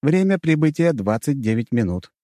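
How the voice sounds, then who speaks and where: A man voicing a city's official loudspeaker announcer, formal, urgent and commanding, in an audiobook. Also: medium pitch, sing-song, moderate pace, no echo, studio quality, pitched above the performer's own voice, lightly acted.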